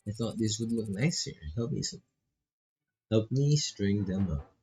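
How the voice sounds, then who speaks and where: A man voicing a chatty, friendly teenage girl roommate in visual novel dialogue, with a husky, gravelly voice and a casual, eager manner. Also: lowish voice, a little slow, a little mellow, full character voice.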